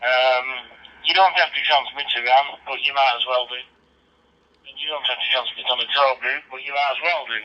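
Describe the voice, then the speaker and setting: A very bright, sharp-voiced man comes through dull, band-limited handheld radio audio. He chats casually as a radio amateur, rambling through explanations with plenty of hesitation. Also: mid-range voice, fairly even intonation, moderate pace.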